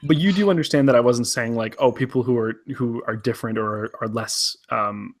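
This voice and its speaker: Young guy talking fast, keeps jumping in to argue, headset on a call from his room. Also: medium pitch. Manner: quick, interjecting, argumentative. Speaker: podcast co-host. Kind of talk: casual chat. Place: small room at home. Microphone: headset on a video call.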